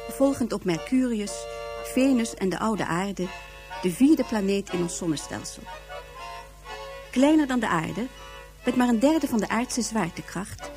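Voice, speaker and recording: A woman's voice: fairly high pitch, dark tone. A woman performing as the narrator of a science fiction radio serial, reading in a scripted, informative documentary style.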